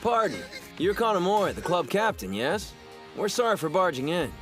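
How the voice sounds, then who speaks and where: Lively, smooth-talking schoolboy. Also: male voice, sits high, normal speed, soft background music. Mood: composed. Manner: polite but sly, self-assured. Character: smooth-talking, confident teenage male sumo club member. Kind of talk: anime dub acting.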